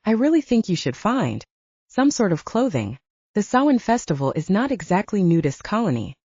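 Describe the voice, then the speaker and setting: A woman's voice: medium pitch, big pitch swings, moderate pace, darkish tone, husky, no room sound, muffled recording. A woman voicing a wry, bossy but anxious young heroine in an audiobook story. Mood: dry exasperation.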